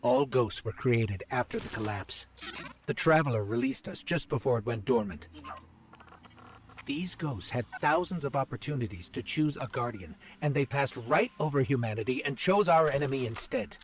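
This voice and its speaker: An actor playing a wary, earnest robot companion in a video game, articulate and questioning. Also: male voice, medium pitch, lively intonation, normal speed, natural voice. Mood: wary, resentful.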